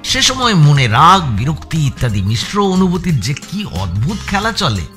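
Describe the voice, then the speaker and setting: A man with a West Bengal Bengali accent narrates a first-person suspense audiobook in an expressive, measured storytelling manner with deliberate pauses. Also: a little high. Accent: Bengali from West Bengal, India. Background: music.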